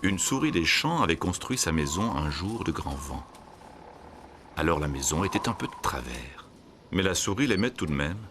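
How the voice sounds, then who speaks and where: A man narrating a scripted children's story in an expressive, storytelling way. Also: deep voice, moderate pace, slight background noise.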